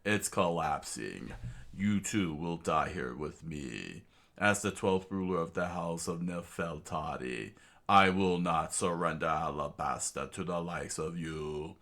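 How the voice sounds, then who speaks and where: A man voicing a solemn, defiant king with a slow, grave delivery. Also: sits low, a bit bright, full character voice.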